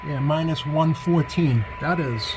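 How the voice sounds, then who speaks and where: Man reviewing gear over clear background noise. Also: fairly high pitch, measured pace. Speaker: product reviewer. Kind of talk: casual hands-on radio review.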